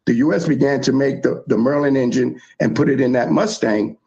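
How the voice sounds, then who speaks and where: A man from the South Bronx, telling history in animated, emphatic casual chat as a show host. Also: medium pitch, a little fast, vocal fry, lo-fi sound. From South Bronx, New York.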